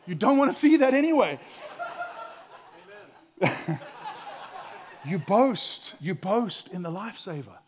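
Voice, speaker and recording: A man with a loud voice preaching a sermon as pastor, swinging between hushed whispers and loud exclaiming, often stammering and repeating words. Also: high-pitched, sing-song, takes it slowly, long pauses, slight background noise.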